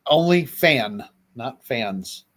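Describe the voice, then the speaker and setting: A man's voice: medium pitch, animated, very slow, fairly bright tone. A man co-hosting a casual livestream chat, upbeat and playful in banter, greeting viewers. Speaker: co-host.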